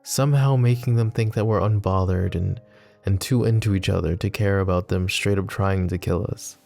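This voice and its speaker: A man playing a possessive, flirty mafia boss in an intimate ASMR roleplay, murmuring and teasing the listener with unhurried pauses. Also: low-pitched, vocal fry, dark tone, faint music behind. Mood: grave, protective.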